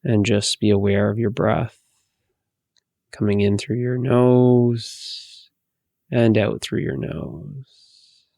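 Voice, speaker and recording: A man leading a guided meditation in a soothing, hushed manner, giving gentle instructions with long pauses. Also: lowish voice, steady tone, vocal fry, dry recording, studio quality.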